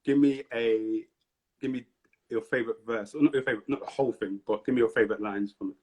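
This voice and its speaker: A man's voice: medium pitch, steady tone, fast talker, darkish tone, slightly rough, poor audio. A man hosting a live-streamed interview, upbeat and hyping up the audience between questions. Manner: upbeat hype, repeats prompts to the audience. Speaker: host.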